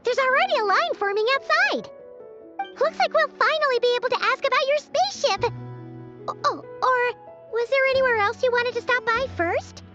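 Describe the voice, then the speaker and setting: A woman's voice: very high voice, lively intonation, normal speed, sharp tone, heavily acted. A woman voicing a tiny, cheerful, fairy-like girl companion in a video game, bubbly and a little boastful. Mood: excited. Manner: bubbly, boastful, speaks of herself in third person. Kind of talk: video game character dialogue. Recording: dull game stream audio under music.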